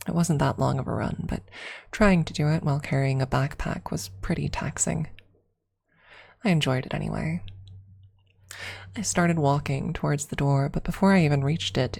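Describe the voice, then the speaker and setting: A woman with a creaky voice narrating a scripted story in an ASMR style. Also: sits low, normal speed, a little mellow. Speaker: streamer and story reader.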